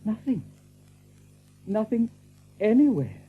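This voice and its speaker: A male actor playing a small, eager young rabbit, plucky but anxious, halting and repeating himself. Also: sits high, sing-song, slightly rough, soft background music, heavily acted. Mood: wondering, wistful. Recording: tinny narrow-band audio.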